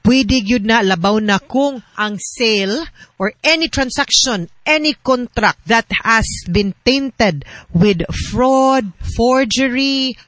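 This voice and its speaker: Female radio advice host, Cebuano Filipino accent, mid-pitched, bright tone, informal attorney explaining things conversationally. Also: no echo. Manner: informal, code-switching, often adds tag questions. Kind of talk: conversational legal advice to listeners.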